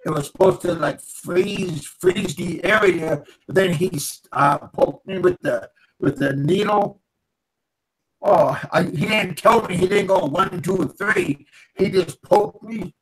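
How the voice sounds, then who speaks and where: A man's voice: high voice, slow, fairly bright tone, breathy edge, low-quality recording. A man on a video call telling a rambling, self-mocking story as the show's guest. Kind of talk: casual group video call.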